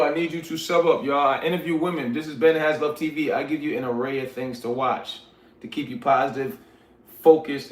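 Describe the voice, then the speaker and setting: A man hosting a casual livestream, chatting to viewers in a laid-back, slangy way and calling them "y'all". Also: mid-range voice. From Liberia. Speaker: livestream host reading chat. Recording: roomy echoey sound.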